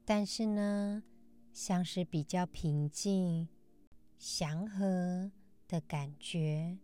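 A woman hosting a meditation podcast, giving a calm scripted mindfulness talk in measured, gentle phrases with frequent pauses. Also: fairly low pitch, clear, resonant tone, soft background music. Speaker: host of a meditation and Buddhist teaching podcast.

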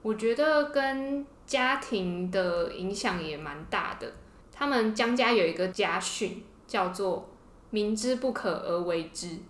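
A Taiwanese-accented woman co-hosting a casual podcast chat, explaining stories in an expressive fan's manner. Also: mid-range voice, slow. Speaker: podcast co-host. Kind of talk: casual panel chat about fiction. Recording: roomy, echoey sound.

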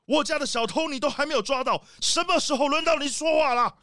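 A man's voice: very high voice, quick pace, bright, forceful tone, no echo, clean studio sound. A man playing a bossy, irascible animal tycoon villain in a children's radio drama, scolding and indignant. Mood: angry scolding.

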